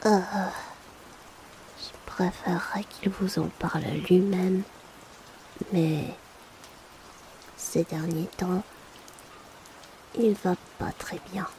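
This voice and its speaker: A woman's voice: on the low side, steady tone, drawn-out words, long pauses, warm tone, slight background noise, low-quality recording. A woman playing a polite, chatty, discreet potion delivery assistant in a whispered ASMR roleplay, hesitant and confiding.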